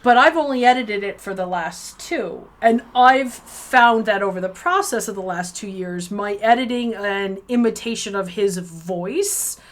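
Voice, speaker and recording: A woman co-hosting a casual livestream conversation over a video call, speaking in an animated, emphatic and bluntly joking manner. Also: mid-range voice, measured pace, hardly any pauses. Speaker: co-host and editor.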